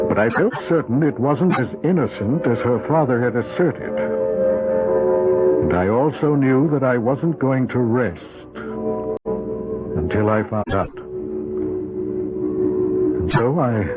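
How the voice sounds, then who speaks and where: A man's voice: medium pitch, natural voice. Male actor for an alarmed, probing pilot in a vintage radio mystery; dramatic swoops, thin tinny sound. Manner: measured suspenseful delivery, probing questions. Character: stranded male pilot guest, alarmed, insistent, level-headed. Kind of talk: old-time radio drama acting, first-person narration and dialogue. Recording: tinny old radio broadcast, loud music.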